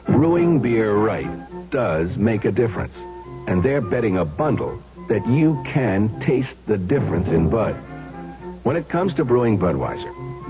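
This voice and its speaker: A man reading a vintage radio commercial as announcer, in a folksy, persuasive way. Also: on the low side. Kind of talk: 1970s radio advertisement read. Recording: muffled old radio recording, music behind.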